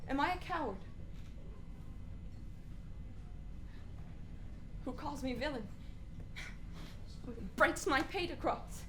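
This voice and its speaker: A woman playing an anguished, brooding young prince in a Shakespearean radio play, speaking in halting, deliberate soliloquy. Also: high voice, slight background noise, natural voice. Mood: self-loathing, anguished.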